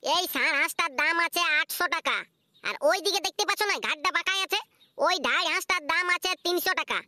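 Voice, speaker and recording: A woman's voice: extremely high pitch, quick pace, pushed and bright, dry recording, exaggerated voice. A woman voicing a stubborn village duck seller in a comedy cartoon, haggling insistently with a Purulia Bengali accent. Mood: matter-of-fact.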